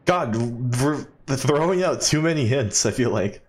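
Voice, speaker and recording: A man's voice: medium pitch, lively intonation, measured pace. A young man giving casual, joking let's play commentary as a gaming YouTuber. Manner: wry, joking.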